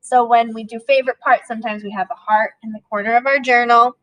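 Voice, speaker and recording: Higher-voiced woman teaching young children. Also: fairly even intonation, quick pace, fairly bright tone, no echo, poor audio. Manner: asks questions and prompts as if to young pupils. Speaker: elementary school teacher. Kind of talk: read-aloud lesson for young children.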